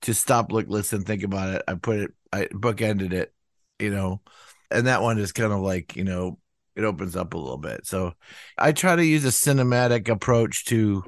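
A man musician talking casually in an interview, rambling with lots of "you know" fillers and acting out quotes in a higher voice. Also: normal speed, dry recording, studio quality. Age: older. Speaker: musician guest.

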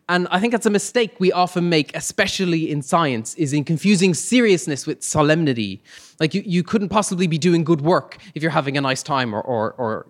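A man telling a personal story live to an audience, in a hesitant, self-deprecating, humorous way. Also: a little high. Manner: hesitant, self-deprecating humour, chuckles as he talks. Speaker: storyteller on stage.

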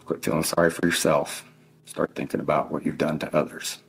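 A middle-aged man with a very low, gravelly voice addresses someone as a grieving parent in a formal statement. He is stern and deliberate, leaving heavy pauses between short phrases. Faint guitar music runs underneath. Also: level tone. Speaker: bereaved father addressing his child's killer. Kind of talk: victim impact statement addressed to one person.

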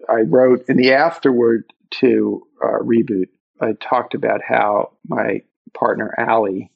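A man from Brooklyn with a Brooklyn accent, talking candidly and reflectively as a guest author in an interview, pausing for emphasis. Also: on the low side, creaky, slightly rough, highs cut off. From Brooklyn, New York.

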